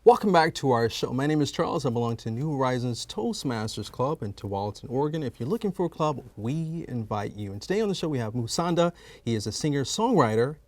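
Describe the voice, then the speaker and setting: A man's voice: medium pitch, lively intonation, fast talker, few pauses, no echo. A man in his forties hosting a TV interview show in a studio, friendly and encouraging. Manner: friendly, encouraging, short replies. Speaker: TV show host. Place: TV studio set.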